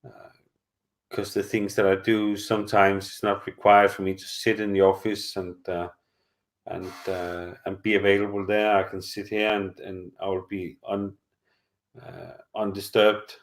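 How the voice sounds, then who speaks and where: A middle-aged man with a fairly low voice, chatting casually from home during a live stream. He rambles, hesitates, and restarts his sentences often, filling gaps with "uh" and "um" as he answers viewers. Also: little pitch movement, a little slow. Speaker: channel host answering live chat.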